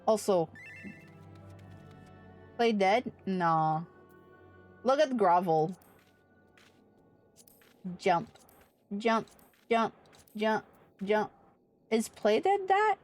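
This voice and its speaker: A woman's voice: mid-range voice, very animated, extremely slow, long pauses, darkish tone, faint music behind. A woman livestreaming an online game with casual, playful, salty commentary, muttering to herself as she plays. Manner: playful, foul-mouthed mock threats and muttering to self.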